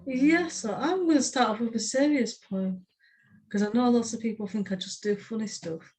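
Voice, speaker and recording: A woman's voice: a little high, moderate pace, darkish tone. A woman, speaking plainly as a podcast co-host who chats and reads her poetry. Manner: brief, plain-spoken. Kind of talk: casual podcast chat and poetry reading.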